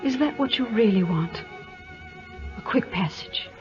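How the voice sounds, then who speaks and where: A woman's voice: on the high side, lively intonation, a little slow, a little mellow. Refined, romantic noblewoman on old radio. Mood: romantic, yearning. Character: gracious, romantic English noblewoman, poised and candid. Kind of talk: old-time radio drama acting. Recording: muffled old radio broadcast with music.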